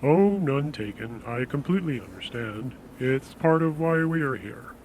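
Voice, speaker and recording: Radio drama salesman, played by a man: medium-pitched male, courteous and persuasive, quietly reassuring about grim business, some noise behind. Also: lively intonation, lightly acted, low-quality recording. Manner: measured, persuasive, politely solicitous. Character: smooth-talking, reassuring male death insurance salesman. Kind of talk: audio drama dialogue.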